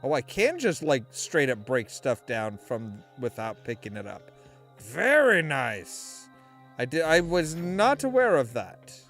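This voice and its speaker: Male gamer, high and lively register, slangy and profane, loose off-the-cuff play-by-play at a slow pace. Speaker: video game streamer. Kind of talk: casual gameplay commentary.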